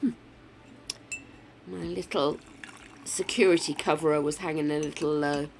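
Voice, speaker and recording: An older woman streaming a building game, chatting casually, swearing freely and muttering to herself, her voice croaky from a sore throat. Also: low voice, fairly even intonation, moderate pace, darkish tone, slight background noise.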